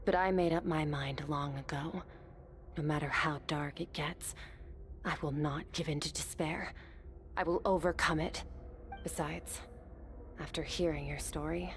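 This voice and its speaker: An actress playing a composed, resolute swordswoman in a game cutscene, speaking calmly and firmly. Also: fairly low pitch, a little hiss or hum. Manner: calm, measured, firm.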